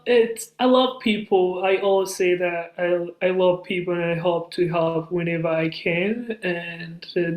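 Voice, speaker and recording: Earnest man from Burundi, echoey room. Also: high-pitched. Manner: earnest, storytelling. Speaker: guest, nonprofit founder. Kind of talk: casual interview talk. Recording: roomy echo.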